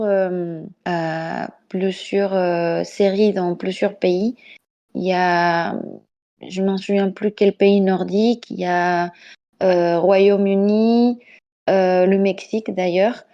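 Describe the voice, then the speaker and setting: A woman with a Mexican Spanish accent talks casually on a voice call, her tone warm and relaxed. She pauses often and restarts her sentences. Her voice comes through a muffled, lo-fi chat connection. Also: medium pitch. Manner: hesitant, many fillers and restarts. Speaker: panelist. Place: online voice call.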